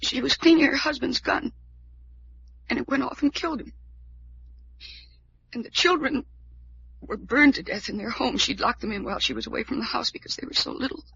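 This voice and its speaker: An actress playing a despairing young heiress who believes she is cursed, in halting, melodramatic 1940s radio drama style. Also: high voice, vocal fry, a bit bright, slight background noise. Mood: anguished. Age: young adult. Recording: muffled, dull old radio recording.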